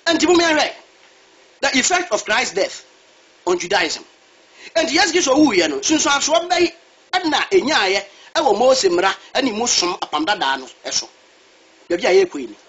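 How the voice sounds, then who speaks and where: A man's voice: extremely high pitch, very animated, moderate pace, a bit bright, slightly rough. A man preaching a sermon who calls on a reader for verses, speaking. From Ghana. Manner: switches between Twi and English, asks a reader to read verses. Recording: dull lo-fi recording.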